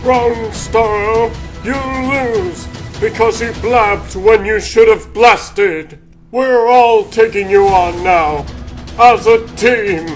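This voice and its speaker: A man's voice: sits high, slow pace, soft background music. A man voicing an earnest, declamatory rabbit superhero who leads his team in a comic dub. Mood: determined. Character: plucky earnest cartoon rabbit superhero leader.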